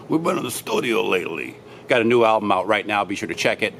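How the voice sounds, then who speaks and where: Quick chatty guy hosting the interview, lowish voice, faint hum around. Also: very animated, gravelly, a bit bright. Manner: fast, upbeat, chatty. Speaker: show host, interviewer. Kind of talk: casual interview. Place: after a show, backstage.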